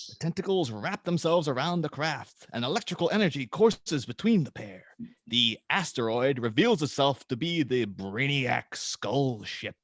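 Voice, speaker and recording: A man hosting and narrating a script read-through in a casual, somewhat halting manner. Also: medium pitch, fairly bright tone, dry recording. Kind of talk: casual introduction, then reading screenplay directions aloud.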